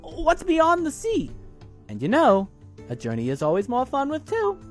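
Adventurous kitty, high, bubbly, emphatic. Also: male voice, huge pitch swings, normal speed, soft background music, heavily acted. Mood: excited. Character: excited adventurous young kitty, eager and convincing.

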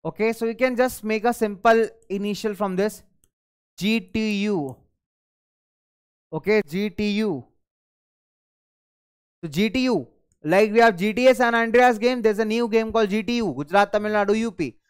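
A young man, a native Hindi speaker, giving a recorded class lecture in a studio on a lapel mic, lively and thinking aloud as he goes. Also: high voice, normal speed, long pauses, clean tone. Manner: energetic, gestures at screen, thinks aloud. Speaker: teacher. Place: studio in front of a large screen.

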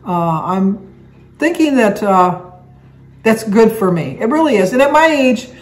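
A woman's voice: mid-range voice, lively intonation, a little slow. An older woman chatting casually to camera in her living room, rambling a little with restarts. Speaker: vlogger. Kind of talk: casual chat. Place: living room at home, indoors. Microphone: camera on a table, seated facing it.

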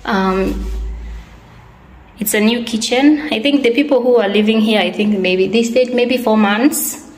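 A woman in her thirties giving a casual, excited tour of her new apartment, filmed on a phone. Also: fairly high pitch, measured pace. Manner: excited, simple repeated phrases. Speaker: vlogger. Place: empty apartment with bare rooms. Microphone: phone camera. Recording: echoey empty rooms.

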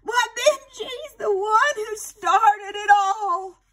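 Storybook aunt, older, doting and excitable , played by a woman. Very high, drawn-out exclamations. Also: exaggerated voice. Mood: delighted. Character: excitable, doting older aunt fretting over her pet bird.

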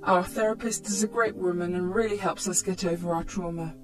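A woman's voice: on the low side, steady tone, brisk. Woman narrating a scripted personal story, background music.

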